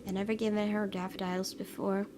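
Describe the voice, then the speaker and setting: A woman's voice: medium pitch, little pitch movement, a little fast. A woman hosting a gaming video, reading a visual novel aloud expressively and slipping into casual asides. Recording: noisy background.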